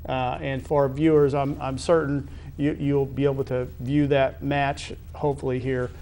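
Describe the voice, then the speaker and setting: A man hosting a sports interview, asking long conversational questions in halting, wordy phrasing with repeated words. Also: mid-range voice, flat delivery, darkish tone, a little hiss or hum. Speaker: interview host.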